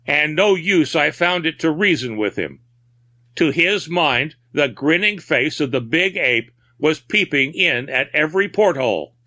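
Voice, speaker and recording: A man's voice: on the high side, lively intonation, unhurried, sharp tone, voice pushed up. A man voicing a gaunt old sea captain in a story, grave and cryptic, with archaic, folksy seafaring phrasing. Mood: earnest.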